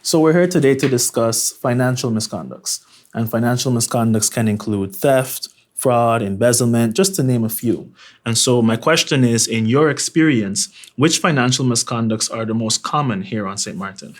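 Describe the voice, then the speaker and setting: A man's voice: mid-range voice, normal speed. A man hosting an interview, asking polite, formal, prepared questions. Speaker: interview host.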